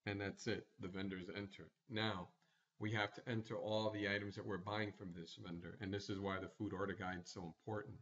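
A man presenting a screencast tutorial, walking through software setup step by step in a plain instructional manner. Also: lowish voice, flat delivery, a little fast, dull audio.

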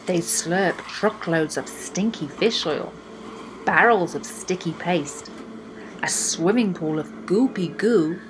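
A young woman reading a children's storybook aloud in an expressive style, with deliberate, emphatic phrasing. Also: lowish voice, noisy background. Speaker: children's book reader.

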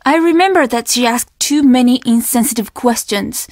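An actress playing a stern young innkeeper's daughter in a video game, speaking in a measured, formal and easily offended way. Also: female voice, on the high side, a little mellow, dry recording, clean studio sound, close to an everyday voice. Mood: cold, offended. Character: guarded, stern young Japanese innkeeper's daughter, polite but curt. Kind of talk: video game character dialogue.

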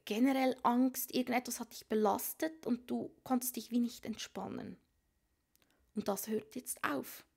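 Woman tarot reader with a cold, gravelly and a bit high, casual and musing, drops into whispers. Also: steady tone, measured pace, a little mellow. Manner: musing, thinks aloud, frequent whispered asides. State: has a cold. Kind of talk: casual tarot reading to viewers. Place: small room at a card table.

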